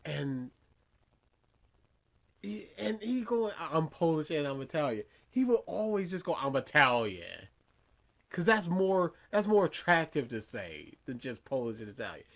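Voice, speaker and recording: A man with a high, swooping voice that rises and falls a lot as he talks. He is sarcastic and animated, and slips into quick mimicry of the person he is mocking in a casual podcast chat. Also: creaky. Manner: animated, sarcastic, mimics others mid-sentence. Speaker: podcast co-host.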